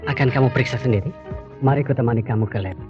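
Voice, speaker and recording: An actor playing a persistent, teasing hospital colleague and suitor in an Indonesian radio drama, familiar and needling. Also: male voice, medium pitch, very fast, natural voice. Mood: needling, flirtatious. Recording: dull radio recording, clear music behind.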